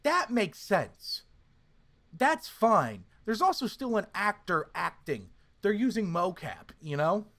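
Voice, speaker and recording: A man's voice: high voice, very animated, slow pace, fairly bright tone. A man hosting a podcast, giving opinionated casual reviews in an emphatic, sweary way. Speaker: podcast host.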